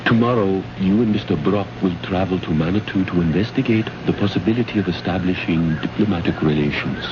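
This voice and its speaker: An actor playing a calm, cryptic man from another planet in a radio drama, speaking in a measured, deliberate, grave way. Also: low voice, lightly acted. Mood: grave warning. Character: calm, cryptic alien man guarding his world. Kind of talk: old-time radio drama acting. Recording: noisy, muffled old radio recording.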